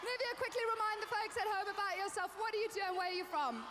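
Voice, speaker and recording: A woman's voice: very high-pitched, quick pace, loud music behind the voice. A woman co-hosting a game show in an arena, bubbly and teasing with the contenders. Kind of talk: arena presenting. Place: indoor arena. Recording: noisy arena.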